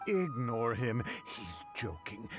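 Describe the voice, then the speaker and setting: A man's voice: medium pitch, sing-song, takes it slowly. A man voicing a loyal, sardonic townsman in a video game, with music under the voice. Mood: firm, supportive.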